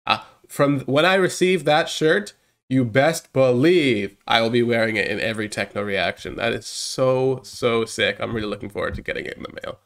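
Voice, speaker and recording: A man's voice: medium pitch, normal speed, a bit bright. A young man with a stuffed-up, congested voice hosting a chatty reaction video from a small room on a headset mic. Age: young adult. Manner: chatty, hyped, rambling. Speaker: reaction channel host. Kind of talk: casual reaction commentary.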